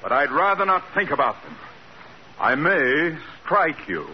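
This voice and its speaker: A middle-aged American actor playing a pompous, jovial bank boss with dry sarcasm in a 1940s radio sitcom. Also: male voice, fairly high pitch, big pitch swings, unhurried. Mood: sardonic. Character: blustery, jovial male bank boss and dinner host. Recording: dull, noisy old radio recording.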